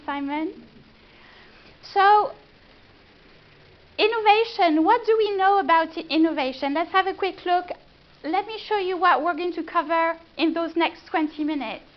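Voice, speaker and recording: A woman with a French accent, a business consultant giving a live presentation and chatting with the audience. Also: very high voice. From France. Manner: chatty, engaging, asks the room questions. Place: event room before a live audience. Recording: dull lo-fi event recording.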